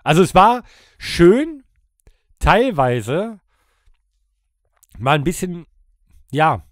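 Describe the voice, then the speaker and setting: A man's voice: a little high, very animated, extremely slow, no room sound. A man co-hosting a podcast in casual conversation, recorded close to a studio microphone. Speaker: podcast co-host and band musician.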